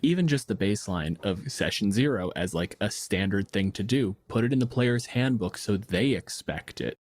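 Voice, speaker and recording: A man's voice: lowish voice, animated, normal speed, a little mellow, no echo. A man from Canada co-hosting a podcast in casual discussion, with a blunt, informal way of talking. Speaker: podcast co-host.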